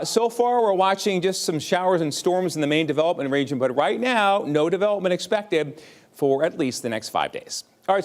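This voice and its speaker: An American man presenting a TV weather forecast in a conversational, ad-libbed way, stressing key phrases. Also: fairly high pitch. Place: TV news studio.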